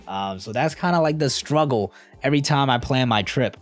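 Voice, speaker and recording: A man's voice: medium pitch, animated, normal speed, no room sound, faint music behind. A young man casually chatting with a live stream audience in a laid-back, slangy, rambling way. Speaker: streamer answering live chat.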